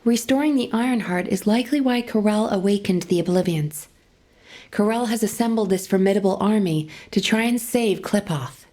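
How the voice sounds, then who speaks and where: An actress playing a serious, composed operations officer in a game cutscene, formal and earnest. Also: female voice, medium pitch, moderate pace, dark tone, natural voice.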